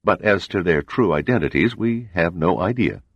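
A man doing a calm, impassive intelligence official. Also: low-pitched, dry recording, lo-fi sound, pitched above the performer's own voice. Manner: measured, evasive official tone.

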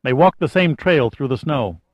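A man's voice: medium pitch, expressive, normal speed, no echo, highs cut off, pitched above the performer's own voice, lightly acted. A man voicing a cautious but unafraid militant lookout in an audiobook thriller, blunt and matter-of-fact. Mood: watchful, businesslike.

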